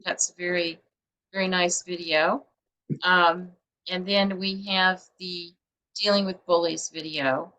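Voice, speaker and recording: A woman's voice: on the low side, fairly even intonation, slow, pushed and bright, poor audio. A woman publisher hosting a book show over a video call, chatty and rambling with many fillers. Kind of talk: casual interview chat.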